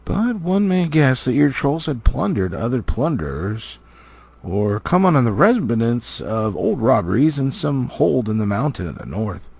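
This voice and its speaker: A wise and stately elf lord acted out by a man reading a storybook. He declaims solemnly, rising and falling dramatically. The voice is husky with a gravelly edge. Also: mid-range voice, very animated, measured pace, a little mellow, no room sound, exaggerated voice. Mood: measured, knowing.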